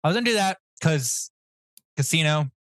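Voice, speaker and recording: A man's voice: on the high side, lively intonation, normal speed, fairly bright tone, clear, resonant tone, no echo. Joking, rambling man guest. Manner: jokey, rambling storytelling with self-mocking asides. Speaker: guest comic writer. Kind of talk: casual podcast chat.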